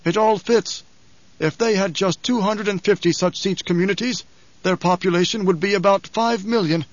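A man doing a calm, probing old spymaster. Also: moderate pace, no echo, close to an everyday voice. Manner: mild, precise, higher voice. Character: shrewd older male spymaster, calm, probing. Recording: dull, dry recording.

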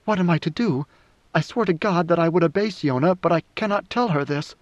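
A man voicing a heavy, muscular woman guard in an audiobook, guarded, blunt and devout, speaking deliberately and tersely. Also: close to an everyday voice. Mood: dutiful, uneasy. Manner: deliberate, terse, low-voiced. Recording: roomy, slightly muffled recording.

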